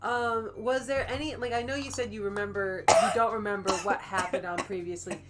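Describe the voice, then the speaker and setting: A woman's voice: mid-range voice, fairly even intonation, fairly quick, loud music behind the voice. A young woman chatting casually as a livestream guest, playful and teasing in manner.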